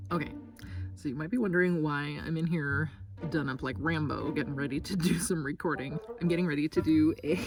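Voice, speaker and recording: Low-voiced American woman chatting casually. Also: normal speed, pauses often, recorded from a distance, music in the background. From United States. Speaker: voice actor, video host. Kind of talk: casual behind-the-scenes chat. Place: small recording booth.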